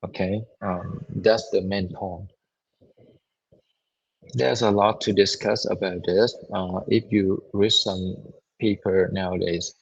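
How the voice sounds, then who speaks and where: A hoarse man giving a university lecture online, halting, with many repeats and fillers. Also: low-pitched, fairly even intonation, a little hiss or hum. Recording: dull lo-fi audio.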